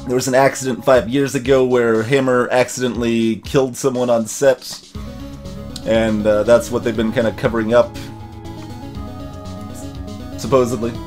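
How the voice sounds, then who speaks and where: A man's voice: medium pitch, flat delivery, normal speed, long pauses, a little mellow. A man hosting a let's play with casual, hesitant commentary, rambling as he thinks aloud. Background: game music.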